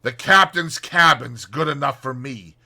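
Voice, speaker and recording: A man's voice: medium pitch, measured pace, sharp tone. A man voicing a worldly, boastful seafaring rat who savors old voyages with grand storytelling flourishes, in a dramatized audiobook. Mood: wry. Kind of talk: dramatized audiobook character reading.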